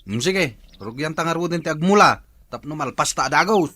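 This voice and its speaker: A man's voice: on the high side, expressive, fairly quick, music in the background, close to an everyday voice. A man acting as a nagging, teasing husband in a comedy skit. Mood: bossy. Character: nagging, teasing husband working at planting crops. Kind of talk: comedy skit dialogue in Ilocano.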